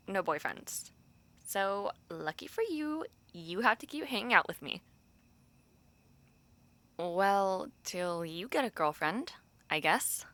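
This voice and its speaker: A woman's voice: medium pitch, gravelly, pushed and bright, full character voice. A young woman playing a gossipy, teasing university dormmate and close friend, chatting casually to the listener in an audio roleplay. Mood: playful, teasing. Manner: conversational, gossipy, pausing with filler words.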